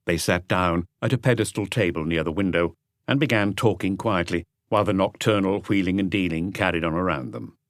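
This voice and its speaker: A man's voice: low-pitched, a little fast, no echo, clean studio sound. A man reading a mystery novel aloud as scripted audiobook narration.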